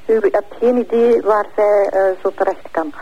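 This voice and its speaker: A husky-voiced Flemish speaker puts questions as in an interrogation. The phrasing is informal and halting, with words restarted along the way. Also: mid-range voice, dull audio.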